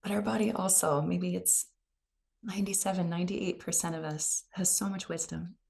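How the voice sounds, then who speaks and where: A woman leading a guided meditation in a gentle, unhurried, soothing manner. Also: on the low side, fairly even intonation, vocal fry. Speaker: meditation teacher.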